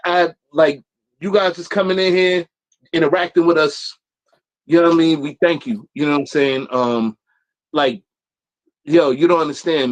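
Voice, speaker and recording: A man's voice: fairly high pitch, poor audio. A man from New York with a New York accent, hosting a podcast in slangy, laid-back chat. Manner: slangy, laid-back, laughs between phrases. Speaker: podcast host.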